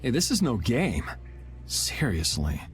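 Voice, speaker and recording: Game hero: wry young man, medium pitch, varied intonation, faint music. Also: huge pitch swings, slow. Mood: cool, serious. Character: cool, wry young swordsman leader, casual and teasing. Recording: music under the voice.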